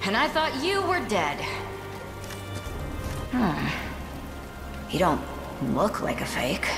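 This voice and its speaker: An actress playing a tough, distrustful captain of fighters in a video game, blunt and sardonic. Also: female voice, mid-range voice, huge pitch swings, slow pace, creaky, full character voice. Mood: grudging. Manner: blunt, sardonic, hard-bitten. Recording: roomy echo, music behind.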